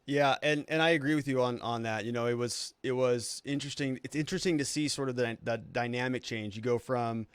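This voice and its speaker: A man's voice: medium pitch, brisk, hardly any pauses, clean tone, dry recording. A male host asking questions in casual interview chat, rambling with many "you know"s and restarts.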